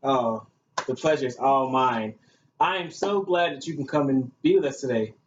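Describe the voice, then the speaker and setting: A man's voice: medium pitch, normal speed, natural voice. A man playing a gushing, chatty talk show host in a staged amateur show. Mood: welcoming. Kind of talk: hosting a staged student-made talk show. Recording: echoey, muffled room recording with hiss.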